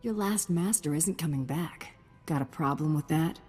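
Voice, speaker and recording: A swaggering, cold-blooded female bounty hunter from a video game. She speaks tersely and dryly in a very low, husky voice, with long reverb around it. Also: moderate pace, a little mellow. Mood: tough. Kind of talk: scripted game character acting. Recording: long reverb, faint music.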